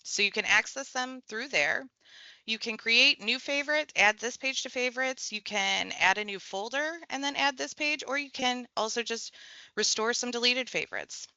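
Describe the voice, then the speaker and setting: A woman with a bright, forceful voice talks a viewer through a software tutorial one click at a time. Her delivery is casual and conversational. The recording is dry and a little dull. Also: a little high, moderate pace, no room sound. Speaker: tech trainer. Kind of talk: screen-share software tutorial.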